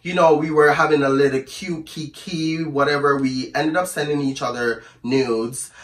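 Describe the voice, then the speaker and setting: A man with a hoarse voice from the flu, telling a storytime to camera in a chatty, sassy, rambling way and calling his viewers "girl". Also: on the high side, steady tone, normal speed, reverberant, far from the mic. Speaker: storyteller vlogger. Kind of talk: casual storytime chat to camera.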